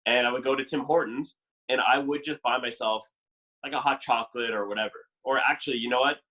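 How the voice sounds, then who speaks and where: Man, young adult, from Canada, bright voice, middle pitch, chatty, filler-heavy. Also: steady tone, brisk, rough recording. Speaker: dating show contestant. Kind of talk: casual podcast chat.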